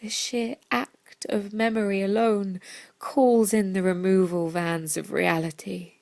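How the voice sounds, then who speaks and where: Slow, creaky-voiced woman reading dramatically. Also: mid-range voice, flat delivery, darkish tone, dry recording. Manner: deliberate, theatrical, broken into short phrases. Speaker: reader of a theatre piece. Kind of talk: dramatic poetic monologue reading.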